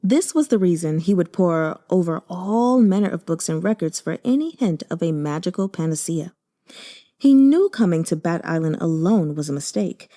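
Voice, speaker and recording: A woman narrating a translated novel as an audiobook, in a measured, even storytelling manner. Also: on the low side. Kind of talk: reading a translated web novel aloud.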